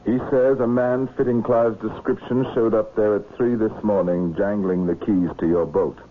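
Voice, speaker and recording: A man acts out a no-nonsense police inspector with a lowish voice. He is measured and stern, in 1970s radio drama style. The recording is narrow-band and slightly hissy. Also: fairly even intonation, close to an everyday voice. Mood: stern, accusing. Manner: measured, matter-of-fact. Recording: muffled vintage radio recording with hiss.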